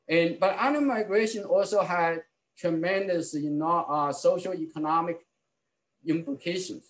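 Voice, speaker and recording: Middle-aged man, academic keynote lecture, fairly high voice, halting with fillers, video call. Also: poor audio. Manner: measured, halting delivery with many fillers and restarts. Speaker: keynote speaker, ecologist. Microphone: video call webcam.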